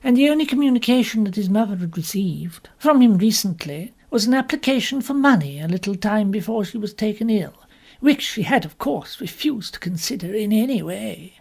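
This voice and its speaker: Prim village spinster, played by a man, breathy husky voice, fussy measured storytelling, audiobook narration. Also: sits high, expressive, a little mellow, heavily acted. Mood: prim, confiding. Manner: fussy, proper, measured asides. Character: prim, gossipy English village spinster telling her story. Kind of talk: first-person audiobook narration.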